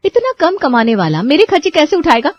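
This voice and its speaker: A woman's voice: high-pitched, big pitch swings, a little fast, highs cut off. A woman voicing a picky, haughty young unmarried daughter in a cartoon story. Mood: haughty, indignant. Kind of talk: Hindi cartoon story voice acting.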